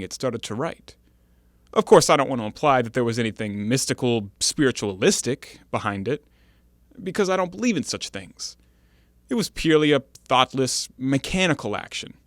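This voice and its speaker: An actor playing an uneasy, defensive ex-convict in an audio play, speaking formally as if forever excusing himself. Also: male voice, mid-range voice, a bit bright, no room sound. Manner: formal, elaborately self-excusing. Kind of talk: stage-play dialogue in an audio drama.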